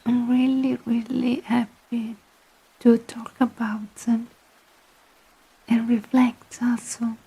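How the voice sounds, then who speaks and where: Soft whispering Italian woman. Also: fairly high pitch, slightly rough, low-quality recording. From Italy. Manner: whispery, hesitant, many fillers. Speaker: vtuber ASMR streamer. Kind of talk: soft-spoken ASMR storytelling and chat.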